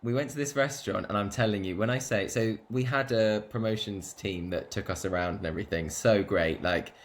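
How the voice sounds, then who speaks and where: A man's voice: fairly low pitch, a little fast. A man chatting casually as co-host, telling stories with frequent laughs. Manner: chatty storyteller, laughs often. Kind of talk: casual chat.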